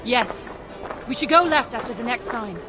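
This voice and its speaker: A stubborn dwarf woman from a video game , voiced by an actress, brisk and sharp-tongued. Her high voice is confident and blunt, set over clear background music. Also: expressive, lightly acted. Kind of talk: conversational video game acting.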